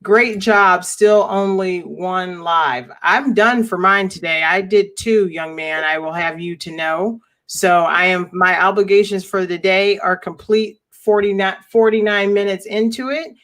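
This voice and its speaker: A woman's voice: medium pitch, a little slow, few pauses, a bit bright. A woman from the United States hosting a casual live-stream chat, encouraging and talkative, often repeating words.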